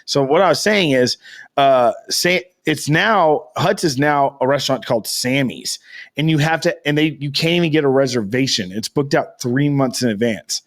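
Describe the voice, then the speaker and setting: A man's voice: medium pitch, moderate pace. An American man chatting casually as a podcast co-host, rambling and emphatic, with slangy talk and free swearing.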